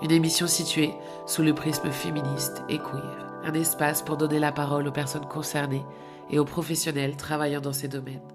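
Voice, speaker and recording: A woman with a low voice opens a community radio show with a scripted introduction. She reads it in a measured, list-like way. Music plays clearly under her voice in the studio. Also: flat delivery. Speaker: radio host.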